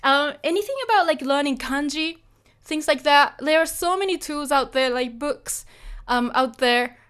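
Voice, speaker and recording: A woman's voice: sits high, normal speed, a bit bright. A Japanese woman teaching Japanese in English with a Japanese accent, answering a live chat, hesitant and apologetic with lots of fillers.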